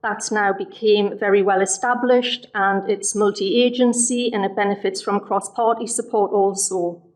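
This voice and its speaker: Woman councillor talking in a flat steady way, voice going a bit. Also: mid-range voice. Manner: measured, steady delivery with frequent ums. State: losing her voice. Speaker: councillor moving an amendment. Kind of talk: council meeting speech. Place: council chamber.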